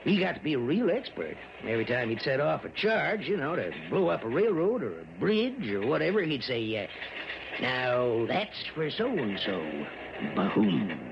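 A man's voice: medium pitch, very animated, gravelly. An actor in an old-time radio drama playing a worried, rambling small-town mayor and newspaperman with a rural American accent. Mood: wry storytelling. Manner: rambling, folksy, emphatic drawn-out storytelling. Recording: muffled old radio recording, faint noise.

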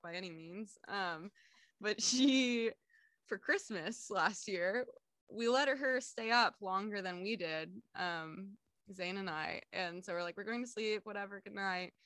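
A woman's voice: medium pitch, a little slow, dry recording. A young woman co-hosting a podcast, telling stories in casual chat and laughing often. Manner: animated storyteller, laughs often. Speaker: podcast co-host.

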